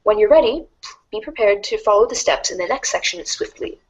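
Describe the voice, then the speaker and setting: A woman's voice: mid-range voice, animated, a little fast, lightly acted, poor audio. A woman playing a wry, erudite teenage girl who reads out a game guide in a formal, precise, dryly instructive way, as storybook narration. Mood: measured, instructive. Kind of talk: scripted read-along storybook narration.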